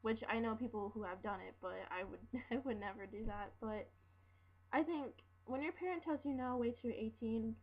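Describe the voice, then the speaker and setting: A seventeen-year-old girl ranting casually to camera at home, rambling and halting with lots of fillers and restarts. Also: on the high side. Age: teens. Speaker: vlogger. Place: room at home. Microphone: built-in laptop mic. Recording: dull lo-fi laptop audio.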